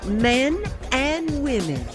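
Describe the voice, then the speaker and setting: A woman's voice: sits high, sing-song, extremely slow, heavily acted. An actress playing a spirited, forthright young country woman working in the city, in a 1920s period audio drama. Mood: wry. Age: young adult. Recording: music behind the voice.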